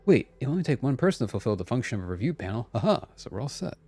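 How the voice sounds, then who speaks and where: A fussy game-character engineer, read aloud by a man, wordy and officious. The pitch moves around in a lively way, and music plays behind the voice. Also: racing, slightly rough. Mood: officious, earnest. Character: fussy, long-winded, bureaucratic engineer reading out repair plans.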